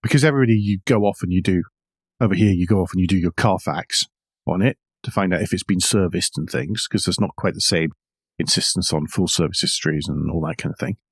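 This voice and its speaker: A man with a fairly low voice talks through car news as a podcast co-host. He shifts between reading items and chatting, slipping in wry asides. The sound is very dry, with no room around him. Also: quick pace, breathy edge, no room sound. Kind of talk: conversational car news discussion, partly read out.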